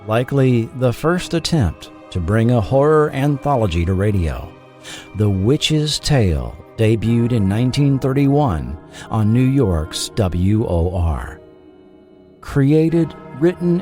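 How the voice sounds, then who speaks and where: Animated male documentary narrator over music. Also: medium pitch. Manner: measured, dramatic storytelling delivery. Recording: music bed under the voice. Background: background music.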